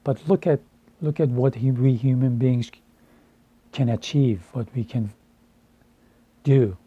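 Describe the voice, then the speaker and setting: A man in his fifties from Iran, a Farsi speaker, speaking deliberately and earnestly to camera with frequent hesitations. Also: medium pitch, fairly even intonation, dark tone, husky, lo-fi sound. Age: 50s. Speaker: translator. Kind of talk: earnest personal address to camera.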